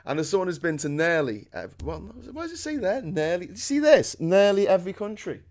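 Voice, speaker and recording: A middle-aged man with a Scouse accent, hosting a casual chat in a loud, excitable way and laughing often. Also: sits high, a little fast. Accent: Liverpool (Scouse). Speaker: host.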